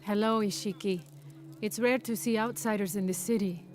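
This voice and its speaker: A woman's voice: medium pitch, fairly even intonation, normal speed, darkish tone, lightly acted. A woman playing a lovelorn outcast villager, tenderly reminiscing in video game dialogue. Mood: fond. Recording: game music behind the voice. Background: music.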